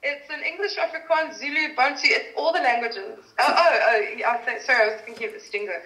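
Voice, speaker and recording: A woman's voice: sits high, fast, sharp tone. A woman from South Africa, a guest actress telling stories in a casual interview, chatty and laughing often. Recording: echoey room.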